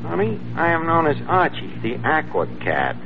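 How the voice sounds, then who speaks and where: A man's voice: on the high side, wildly swooping pitch, normal speed, vocal fry, exaggerated voice. An actor playing a brash, slangy New York barkeep and would-be ladies' man in 1940s radio comedy. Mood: cocky, flirtatious. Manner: streetwise, slangy, wisecracking. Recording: noisy, muffled vintage radio broadcast.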